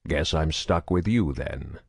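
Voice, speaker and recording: A ruthless alien interrogator, read by a man for a storybook, curt and quietly menacing. The voice is very deep and kept low and soft. It sounds dry and close to the mic. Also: a little slow, vocal fry, slightly rough, dry recording, heavily acted. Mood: cold.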